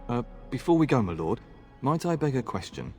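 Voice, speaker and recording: Young castle knight, courteous, earnest, middle-range voice, faint background music, video game drama. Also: male voice, mid-range voice, big pitch swings, fairly quick, vocal fry, a little mellow. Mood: polite, wry. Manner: polite, boyishly earnest. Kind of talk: fantasy video game acting.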